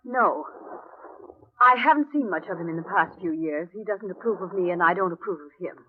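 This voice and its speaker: A woman's voice: fairly low pitch, lively intonation, fairly quick, close to an everyday voice. A woman playing a hard, sullen gangster's moll in a 1950s radio crime drama, curt, slangy and defiant. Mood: icy, guarded. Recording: dull, noisy old radio recording.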